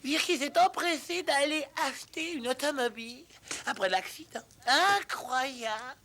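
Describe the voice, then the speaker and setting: A high-voiced, fussy cartoon water rat. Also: unhurried, fairly bright tone. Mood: chatty, disapproving. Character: genteel, weary, chatty water rat, fussily protective friend. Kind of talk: cartoon dub acting.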